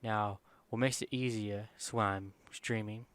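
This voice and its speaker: Soft-spoken man rambling through a tutorial. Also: on the low side, fairly even intonation, unhurried, no room sound. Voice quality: quiet voice. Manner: rambling, informal, many fillers and self-corrections. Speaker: streamer giving a software tutorial. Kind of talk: casual screen-recorded tutorial.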